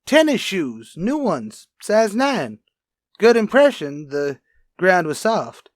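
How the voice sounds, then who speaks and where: A man playing a wry, chatty police lab technician in a radio crime drama, brisk and casual. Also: sits high, very animated, no room sound, studio quality, heavily acted. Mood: matter-of-fact. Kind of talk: old-time radio crime drama acting.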